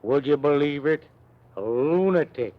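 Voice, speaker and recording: Grim rustic servant, highish voice, old radio. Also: male voice, expressive, unhurried. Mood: grim, excited. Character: grim rustic English servant man telling of tragedy. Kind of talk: 1940s radio drama acting. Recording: muffled vintage 1940s radio recording.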